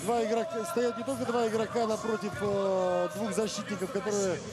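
Halting, high-voiced man analyzing basketball. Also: flat delivery, over a noisy crowd. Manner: analytical, halting with many fillers. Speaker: expert co-commentator. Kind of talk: live basketball commentary.

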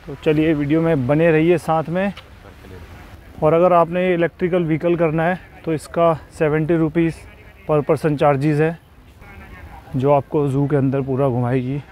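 A man's voice: a little high, darkish tone, low-quality recording. A man in his thirties, a travel vlogger chatting casually to viewers while touring a zoo outdoors on a clip-on mic. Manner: chatty, addresses viewers directly. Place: outdoors at a city zoo and riding a motorbike. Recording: outdoor traffic noise behind.

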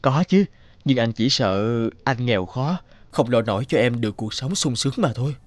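A man's voice: medium pitch, lively intonation, moderate pace, dry recording, studio quality, natural voice. An actor playing an earnest, dutiful young man, a boyfriend and son, in a cartoon drama. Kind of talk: animated drama voice acting.

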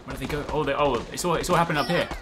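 A man in his thirties giving casual, chatty, excitable gameplay commentary, often repeating short phrases. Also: fairly high pitch, racing, over music. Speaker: gaming video host. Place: indoors at a desk, gaming setup.

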